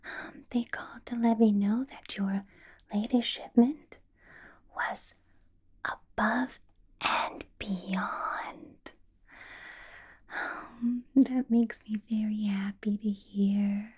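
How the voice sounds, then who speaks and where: A woman playing a possessive, menacingly sweet vampire boss in a whispered ASMR roleplay, coaxing and polite with drawn-out words. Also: mid-range voice, fairly even intonation, heavily acted. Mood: sweetly flattering. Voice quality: breathy whisper.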